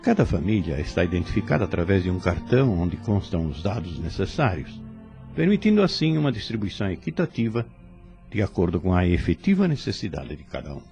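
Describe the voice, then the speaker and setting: A man with a Brazilian accent playing a calm, kindly, devout spirit instructor in an audio drama, explaining things in a measured, solemn way. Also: deep voice, vocal fry, faint music behind, full character voice. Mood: instructive, earnest. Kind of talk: didactic audio drama dialogue.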